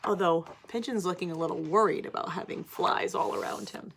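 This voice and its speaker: A woman teacher reading a picture book to children, animated, pausing for simple explanations and questions. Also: fairly low pitch, a little hiss or hum. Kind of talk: picture-book read-aloud with asides to children.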